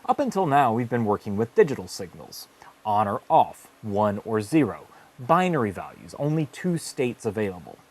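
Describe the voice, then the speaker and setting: A man's voice: on the low side, very animated, normal speed. A man narrating a scripted technical tutorial as an instructor, explaining things methodically in carefully phrased steps. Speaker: instructor and host of an electronics tutorial series.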